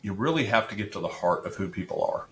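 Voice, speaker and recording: A middle-aged man with a deep voice, chatting casually as a podcast guest, rambling with restarts and filler words. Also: expressive, fast talker, creaky, a bit bright, slight background noise. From Central Florida area resident. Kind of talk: casual interview chat.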